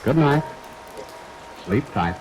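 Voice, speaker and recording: An actor as a wry, teasing sergeant who hosts a 1940s radio mystery show, speaking deliberately. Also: male voice, medium pitch, expressive. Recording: noisy vintage radio recording, rain over it.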